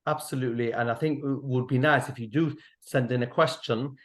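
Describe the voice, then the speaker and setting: A man with a Mancunian accent, hosting and speaking gently as a therapist, with a soft, halting delivery and many pauses. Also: mid-range voice, steady tone. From Manchester, England. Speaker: host and therapist. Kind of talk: gentle supportive talk to teens.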